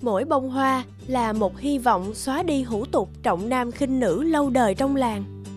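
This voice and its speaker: A woman giving scripted storybook narration for an animated tale. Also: high voice, a little slow, soft background music.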